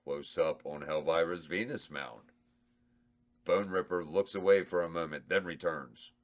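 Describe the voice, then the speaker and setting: Deep-voiced man reading stage directions. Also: unhurried. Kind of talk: reading script directions aloud.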